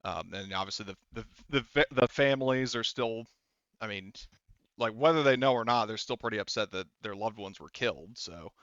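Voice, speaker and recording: A man's voice: on the low side, normal speed, fairly bright tone, clean tone, no echo, muffled recording. A man acting as game master, narrating a tabletop roleplay in a casual, rambling, conversational way.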